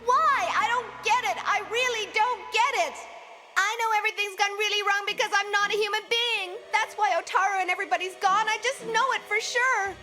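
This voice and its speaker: A woman's voice: very high-pitched, fairly even intonation, brisk, bright, forceful tone, soft background music. A woman voicing a naive, childlike, earnest android girl in an English anime dub. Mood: distraught, pleading.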